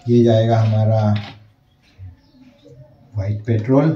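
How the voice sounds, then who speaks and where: A man's voice: on the low side, fairly even intonation, takes it slowly, echoey room, muffled recording, noisy background. A man in his thirties narrating a casual step-by-step laundry how-to, talking to viewers as friends in an indoor workshop. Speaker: laundry business owner.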